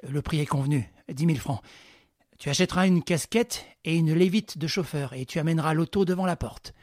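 A man voicing a cunning gentleman thief who gives orders briskly and with dry irony. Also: fairly high pitch, dry recording, pitched above the performer's own voice, lightly acted. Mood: commanding. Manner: brisk, commanding, ironic.

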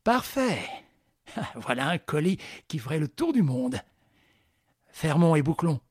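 A man voicing a cunning gentleman thief who gives orders briskly and with dry irony. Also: fairly high pitch, sing-song, slightly rough, no echo, heavily acted. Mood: commanding. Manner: brisk, commanding, ironic.

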